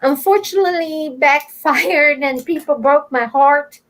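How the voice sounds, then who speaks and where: A woman hosting a casual livestream chat, talking loudly and animatedly and laughing often. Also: high voice, measured pace. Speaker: livestream host.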